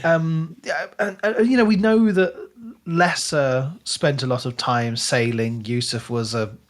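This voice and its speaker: A man's voice: fairly high pitch, fairly even intonation, unhurried. Man chatting analytically about books. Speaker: podcast host. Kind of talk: casual book discussion.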